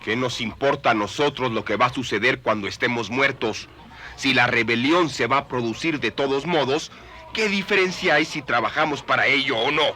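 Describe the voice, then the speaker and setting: Man doing a sharp, cynical donkey. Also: mid-range voice, normal speed, lightly acted. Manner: dismissive, questioning. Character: cynical, sceptical old donkey.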